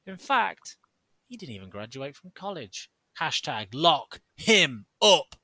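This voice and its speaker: A man's voice: on the high side, very animated, takes it slowly, bright, forceful tone, no room sound. A man co-hosting a podcast, theatrical, jokey and sarcastic in casual chat. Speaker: podcast co-host.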